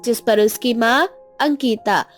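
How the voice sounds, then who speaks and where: High-pitched woman narrating a story. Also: takes it slowly, faint music behind.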